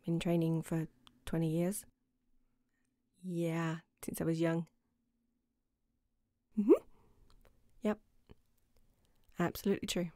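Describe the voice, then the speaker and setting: A woman's voice: on the low side, fairly even intonation, takes it slowly, long pauses, mellow, no room sound, studio quality. A woman playing a playful, affectionate girlfriend in an intimate ASMR roleplay, with a teasing manner. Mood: teasing, modest. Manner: teasing, giggly, sometimes dropping to a whisper. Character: playful affectionate new girlfriend, proud martial arts instructor. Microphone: close microphone in a dry room.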